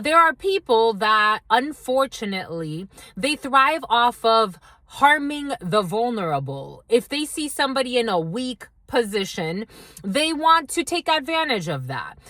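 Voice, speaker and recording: A woman's voice: a little high, sharp tone, dry recording. Rambling tarot reader woman, dry close sound. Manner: rambling, intuitive, addresses viewers directly. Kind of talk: channeled tarot reading.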